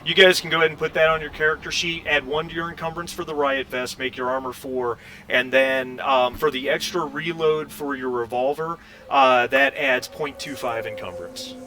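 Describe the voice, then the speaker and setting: Man, game master, bright voice, mid pitch, casual hesitant explaining, distant noisy mic. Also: steady tone, moderate pace, few pauses. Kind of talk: casual tabletop game refereeing. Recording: distant mic with faint noise.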